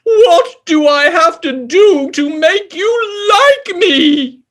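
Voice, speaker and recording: A man's voice: very high-pitched, expressive, slow, fairly bright tone, pitched above the performer's own voice, heavily acted. A man voicing a vain, needy, sulky pig king in a storybook, plaintive and exaggerated. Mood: pleading.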